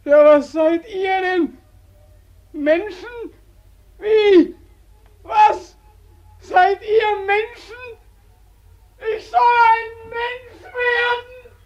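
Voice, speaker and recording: An actor playing a desperate young veteran just back from war, bitter and brooding, in an old radio play. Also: male voice, very high voice, darkish tone, full character voice. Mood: anguished. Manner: bitter, brooding, breaking into anguished cries. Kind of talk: post-war German radio play acting. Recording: dull old radio recording.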